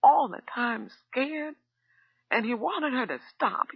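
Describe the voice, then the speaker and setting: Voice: sing-song, moderate pace, breathy edge, no echo, narrow, tinny audio. A reader voicing a furious middle-aged woman cook who screams accusations and then breaks down crying, in an audiobook. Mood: sobbing. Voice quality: shrill, screaming. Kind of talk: audiobook character voice.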